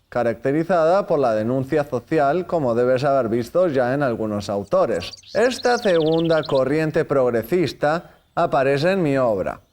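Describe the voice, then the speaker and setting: A man playing an elderly, courteous Spanish novelist who tells his life and reads a passage in a formal, measured way, in a scripted educational drama. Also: medium pitch, a little mellow, slight background noise, natural voice. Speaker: actor playing a historical writer.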